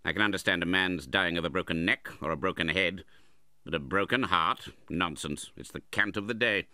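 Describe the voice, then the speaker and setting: Man playing a forceful, scornful old uncle. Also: sits low, animated, normal speed, smooth, dry recording, clean studio sound, heavily acted. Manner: contemptuous, impatient, forceful. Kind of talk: dramatised classic novel reading.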